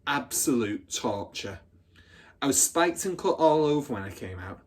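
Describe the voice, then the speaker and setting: A man's voice: mid-range voice, animated, measured pace, slightly rough. Man voicing a boastful, swaggering schoolgirl storyteller. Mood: dramatic, aggrieved. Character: boastful, battle-hardened older schoolgirl telling her exploits.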